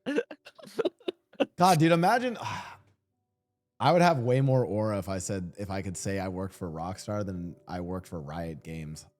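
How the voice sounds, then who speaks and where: A man in his thirties hosting a podcast, joking and rambling with a guest and quick to laugh. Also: deep voice, lively intonation, darkish tone. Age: 30s. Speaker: podcast host. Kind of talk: casual podcast chat.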